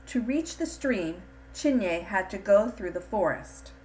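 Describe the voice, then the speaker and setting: Slow-paced woman reading a children's story. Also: mid-range voice, slight background noise, low-quality recording. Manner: expressive, deliberate storytelling. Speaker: storybook reader. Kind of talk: scripted storybook narration for children.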